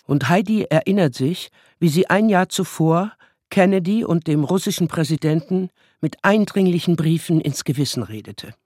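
A woman acting as the wry, deliberate storyteller of a childhood tale in a German radio play. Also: lowish voice, expressive, a little mellow, dry recording, studio quality. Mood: storytelling. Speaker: narrator. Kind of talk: scripted dramatic narration in German radio play.

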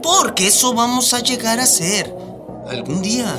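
An actor playing a reassuring, ambitious migrant in a radio drama, speaking colloquially with a Mexican accent. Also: male voice, very high voice, lively intonation, normal speed, over music. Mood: determined.

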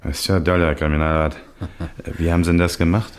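A man's voice: deep voice, little pitch movement, a little fast, a little hiss or hum, lightly acted. A man playing an admiring, puzzled police subordinate in a radio crime play, colloquial and jovial. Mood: amazed, amused. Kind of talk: old-fashioned German radio crime play acting.

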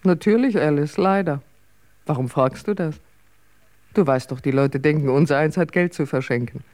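A woman's voice: low-pitched, normal speed, a little mellow, close to an everyday voice. A woman playing a chatty, self-mocking ageing stage actress in a radio drama, wry and theatrical in manner. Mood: breezy, self-mocking. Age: older.

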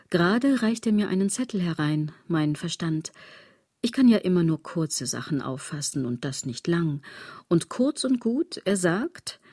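Radio play monologue: a bitter, ironic woman philosopher, lowish husky voice, dry close sound. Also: a little mellow, no room sound. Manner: ironic, theatrical, shifting into breathy whispers. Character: sardonic, brooding woman philosophising bitterly about fashion.

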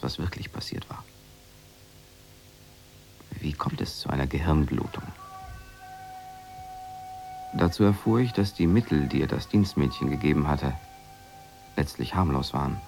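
An actor playing a brooding, quietly guilty expatriate husband, narrating a radio drama in a measured, reflective, subdued way with a gravelly voice. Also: male voice, a bass voice, heavily acted. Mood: hushed, guilty.

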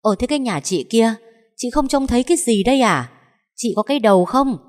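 A woman's voice: on the high side, expressive, brisk, a little mellow, long reverb tail, pitched above the performer's own voice, natural voice. A woman voicing a spiteful older mother-in-law in a storybook, screeching and scolding. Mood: shrill scolding.

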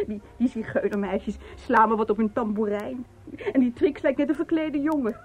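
A woman playing an excitable, gossipy onlooker who chatters and repeats herself in a Dutch radio play. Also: on the high side, moderate pace, breathy edge. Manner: chattering, repeats her words. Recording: radio play with busy crowd behind.

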